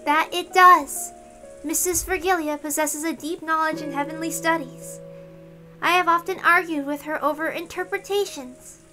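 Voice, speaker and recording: A woman's voice: sits high, fairly even intonation, normal speed, over music, pitched above the performer's own voice, natural voice. A woman voicing a formal, polite young female heavenly visitor with a stiff, solemn, ceremonious way of speaking.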